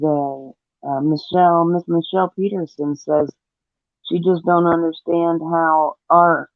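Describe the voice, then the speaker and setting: A man speaking deliberately as a panelist on a live discussion, over narrow audio. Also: fairly high pitch, no room sound. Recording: narrow phone-like audio, very dry.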